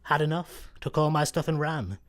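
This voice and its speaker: A man's voice: a little high, lively intonation, normal speed, close to an everyday voice. An actor with a Scottish accent playing a cranky but easygoing stowaway space postman in a sci-fi comedy radio play. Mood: laid-back, evasive.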